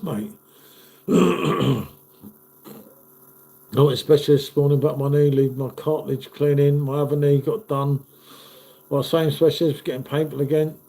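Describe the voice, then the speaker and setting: A man's voice: a little high, warm tone. A man in his fifties, hosting a casual live stream and chatting matily with viewers while stuffed up from a cold. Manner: chatty, matey, calls viewers "mate".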